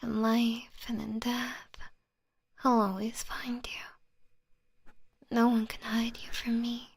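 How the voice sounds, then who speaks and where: A sweetly menacing, obsessive lover breathes her devotion in a soft, unhurried whisper, put on by a young woman. Her tone stays cooing and sugary, pitched a touch high. The sound is close and dry. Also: flat delivery, slightly rough, dry recording, exaggerated voice. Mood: possessive. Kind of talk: whispered ASMR roleplay script reading.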